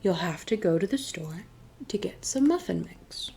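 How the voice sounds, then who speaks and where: A woman reading aloud as a children's storytime host, deliberate and expressive in her delivery. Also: mid-range voice. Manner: clear, deliberate picture-book reading with expressive emphasis. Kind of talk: read-aloud storytime narration.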